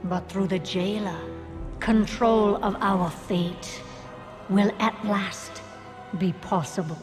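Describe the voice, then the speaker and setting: A woman playing a brooding, defiant undead banshee ranger in a game cinematic, speaking with deliberate menace and breathy edges. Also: medium pitch, fairly even intonation, darkish tone, close to an everyday voice. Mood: brooding, persuasive. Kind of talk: dramatic game cinematic acting. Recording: cinematic music behind the voice.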